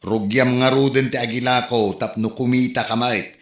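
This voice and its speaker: A man playing a skeptical Ilocano rural husband who questions loudly. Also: medium pitch, fairly even intonation, moderate pace, reverberant, natural voice. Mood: grumbling. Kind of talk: comedy drama acting.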